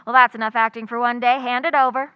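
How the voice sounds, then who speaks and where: A woman acting a wry, quick-witted schoolteacher in old-time radio comedy, full of dry, sarcastic wisecracks. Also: a little high, flat delivery, clear, resonant tone, no room sound, highs cut off, natural voice. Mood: wry, playful.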